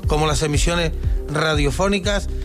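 A man's voice: a little high, normal speed, over music. A man giving formal explanatory commentary in an archival radio clip.

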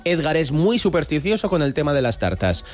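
A man from the Basque Country hosts a casual radio chat, teasing his guests and moving quickly from one question to the next. His voice sits a little high and swings widely in pitch. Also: soft background music. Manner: lively, teasing, fast. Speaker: radio host.

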